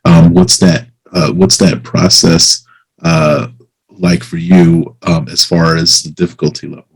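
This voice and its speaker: Man, very low voice, husky, flat intonation, interviewer, hesitant casual questioning. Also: poor audio. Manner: hesitant phrasing, pausing as he builds a question. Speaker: interviewer, host.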